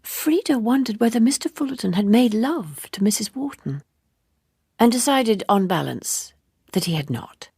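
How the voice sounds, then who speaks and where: A woman reading a literary novel as scripted narration, in a measured and precise manner. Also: fairly high pitch, expressive, vocal fry, mellow, husky, no echo, clean studio sound. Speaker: audiobook narrator.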